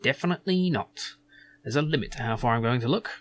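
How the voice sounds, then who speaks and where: A man's voice: medium pitch, lively intonation, fast talker, slight background noise, close to an everyday voice. A man voicing an officious, fussy jobsworth guard in an adventure game. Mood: stern. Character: officious jobsworth security guard searching visitors.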